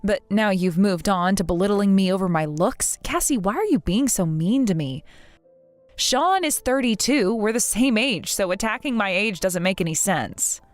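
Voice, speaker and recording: A woman in her thirties voicing a patient, polite bride-to-be who speaks in a soft, measured, restrained way. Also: medium pitch, expressive, no echo, soft background music, natural voice. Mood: hurt. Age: 30s.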